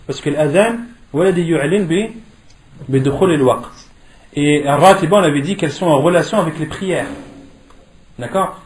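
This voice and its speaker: A man's voice: on the high side, a little hiss or hum. A man giving an interactive religious lesson, posing questions in a didactic way and repeating key phrases for emphasis. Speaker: religious teacher. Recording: echoey room.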